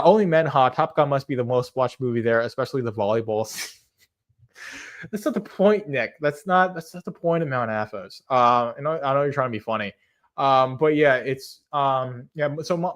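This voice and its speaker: A man, hosting a live stream in casual chat, rambling and hesitant with many fillers and restarts, earnest and softly amused as he answers chat. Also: medium pitch. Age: 20s. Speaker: livestream host.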